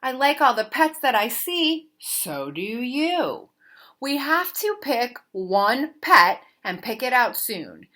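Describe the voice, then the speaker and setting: A woman reads a children's story aloud in a bright, fairly high voice. Her delivery is slow and deliberate, with sing-song emphasis and big swings in pitch, as a teacher would read to small children. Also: big pitch swings. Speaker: teacher reading a picture book aloud.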